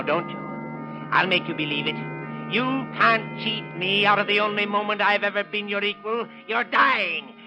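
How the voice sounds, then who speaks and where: A man's voice: on the high side, natural voice. A man playing a tormented, hate-filled murderer, narrating in brooding, melodramatic old radio horror style. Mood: frenzied rising rage. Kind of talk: 1930s radio horror acting. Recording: muffled old radio recording.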